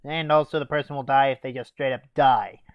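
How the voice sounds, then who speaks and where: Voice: mid-range voice, steady tone, a little fast, no room sound, muffled recording. A reviewer hosting a scripted comic review, playing the exasperated straight man. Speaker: host, anime reviewer.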